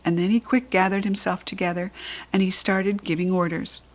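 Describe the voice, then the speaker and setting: A woman with an American accent narrating a children's storybook expressively, with animated, dramatic emphasis. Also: mid-range voice, moderate pace. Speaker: storybook reader.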